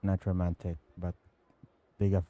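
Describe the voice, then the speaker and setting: A man's voice: low-pitched, level tone, a little slow, dry recording, lo-fi sound. A man with a Filipino accent giving casual, offhand commentary as he streams a game. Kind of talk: casual live gameplay commentary.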